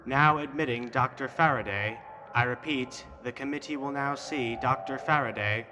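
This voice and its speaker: A man's voice: medium pitch, normal speed, heavy background noise, close to an everyday voice. A man voicing an impersonal official announcer in an audio drama, making formal summons.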